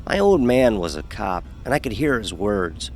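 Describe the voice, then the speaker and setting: A low-voiced man acting as a young fraternity pledge tells his story in an audio horror drama. He is wry and sardonic, and music plays quietly beneath him. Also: very animated, normal speed. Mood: wry storytelling. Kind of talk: scripted first-person horror narration with dialogue.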